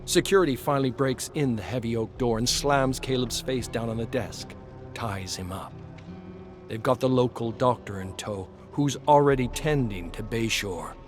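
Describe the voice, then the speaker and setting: A man's voice: mid-range voice, normal speed. Dramatic male storyteller over music. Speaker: narrator. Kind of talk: dramatic scripted story narration in present tense. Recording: music under the voice.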